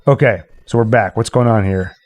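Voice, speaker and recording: A man's voice: on the low side, brisk, darkish tone. A man hosting a stream, casually explaining a radio play's scenes to viewers. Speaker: stream host narrating a radio play.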